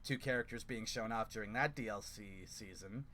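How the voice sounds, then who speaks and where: Bright-voiced, rambling gaming host. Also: male voice, fairly low pitch, moderate pace. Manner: rambling, many fillers, swears freely. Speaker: podcast host. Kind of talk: casual gaming speculation chat.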